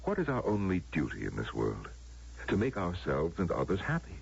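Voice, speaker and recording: Very deep, gravelly, solemn wish-granter. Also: male voice, wildly swooping pitch, full character voice. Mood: persuasive. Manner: measured, grave, persuasive, theatrical. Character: solemn, knowing man who grants wishes. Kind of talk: American radio drama acting. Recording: muffled, lo-fi old radio broadcast.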